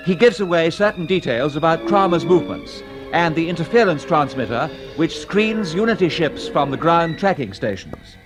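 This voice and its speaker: Actor as animated old radio serial announcer. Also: male voice, a little high, moderate pace, natural voice, rough recording. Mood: dramatic, earnest. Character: serial announcer recapping a space adventure. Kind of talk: 1950s radio drama narration. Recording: old radio recording, music behind.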